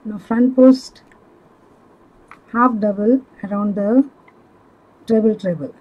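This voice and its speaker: A woman giving step-by-step craft instructions in a measured, halting manner, as a tutorial instructor. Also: mid-range voice, dull audio. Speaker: crochet instructor.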